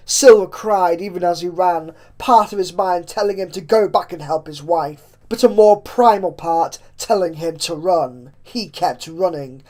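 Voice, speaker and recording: A woman narrates a horror story in a theatrical, suspenseful way, with drawn-out phrases and hushed drops. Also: lowish voice. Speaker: fan fiction narrator. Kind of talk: dramatic horror story reading. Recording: clear background noise with ambience.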